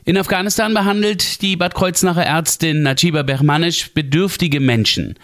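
A man's voice: fairly high pitch, normal speed, a bit bright. A man presenting a radio programme, reading scripted introductions and links.

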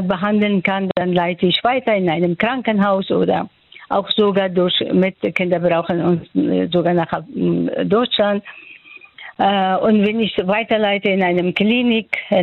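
A woman's voice: medium pitch, dry recording. A woman in her sixties from Afghanistan, a doctor giving a radio interview and speaking hesitantly with many fillers and restarts. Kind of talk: radio interview over the phone. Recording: tinny phone line.

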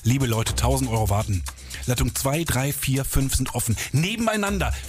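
A man's voice: mid-range voice, steady tone, moderate pace, darkish tone, faint music behind. A man co-hosting a live call-in quiz, hyping it in an emphatic, repetitive, theatrically exasperated way. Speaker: quiz show co-host.

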